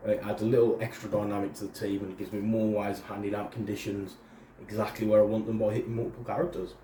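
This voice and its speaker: A man hosting a tabletop game, his voice nasal and raspy because he is under the weather. He talks quickly and explains as he goes. The mic sits a little way off in an echoey room. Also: fairly low pitch, level tone, warm tone, a little hiss or hum. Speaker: host presenting a tabletop battle report. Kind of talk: casual explanatory chat. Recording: roomy, distant mic.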